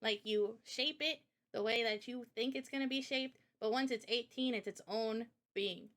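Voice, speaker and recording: A woman's voice: a little high, normal speed, fairly bright tone. A woman hosting a live stream in casual, unscripted conversation, speaking earnestly and passionately about art as an illustrator. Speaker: live stream host interviewing a guest.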